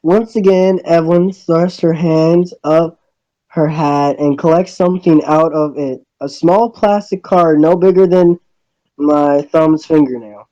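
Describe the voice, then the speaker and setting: A man plays a young male student in a visual novel, bewildered and hesitant. His voice sits a little high and mellow, halting through dialogue and his own narration. The recording is dry and slightly dull. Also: dry recording, close to an everyday voice. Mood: curious narrating.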